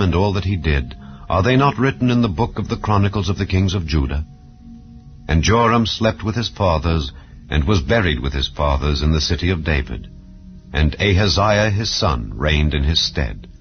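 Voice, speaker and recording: A man reading scripture aloud in a slow, solemn, measured way. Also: sits low, lo-fi sound. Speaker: Bible reader.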